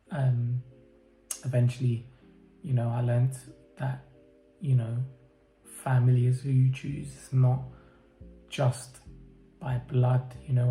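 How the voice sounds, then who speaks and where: Man from the UK, interviewee; flat, measured, hesitant delivery in a roomy space. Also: medium pitch, vocal fry. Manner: hesitant, thoughtful, many pauses and fillers. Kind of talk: reflective personal interview answers. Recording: roomy echo, faint music behind.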